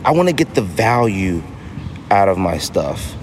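A man's voice: low voice, sing-song, measured pace, slight background noise. A man hosting a casual solo podcast, talking emphatically and repeating phrases to drive them home. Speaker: podcast host.

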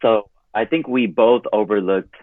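A man's voice: on the low side, fairly even intonation, a little slow, dry recording. A man turning thirty, from California, talking as a podcast guest in a rambling way full of "like". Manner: rambling, heavy use of "like". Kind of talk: casual interview chat. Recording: tinny phone line.

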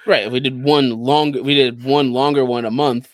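A man's voice: medium pitch, fairly even intonation, normal speed, no echo. A man co-hosting a podcast in casual banter, often laughing as he talks. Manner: laughs often while talking. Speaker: podcast co-host.

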